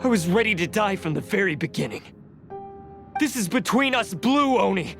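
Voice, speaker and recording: A man's voice: high-pitched, expressive, normal speed, fairly bright tone, soft background music. An actor playing a proud, bitter young male blue oni in a video game, stern and grave with dramatic intensity. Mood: defiant, anguished. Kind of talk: video game character acting.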